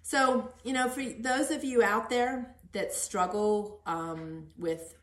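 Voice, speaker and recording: A woman's voice: medium pitch, slow pace, roomy sound. A middle-aged woman hosting a casual conversational interview in an encouraging manner. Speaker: podcast host interviewing her son.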